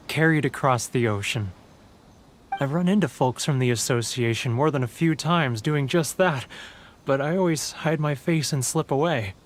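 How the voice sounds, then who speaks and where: A man's voice: mid-range voice, a little hiss or hum, natural voice. An actor playing a homesick, guilt-ridden male merchant in a game, mournful and prone to stammering. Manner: mournful, stammering, self-pitying. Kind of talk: video game cutscene dialogue.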